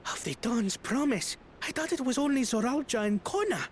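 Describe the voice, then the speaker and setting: An actress playing a doubtful, snide female onlooker gossiping briskly in a video game. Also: fairly high pitch, expressive, a little hiss or hum, lightly acted. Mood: incredulous. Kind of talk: scripted video game dialogue.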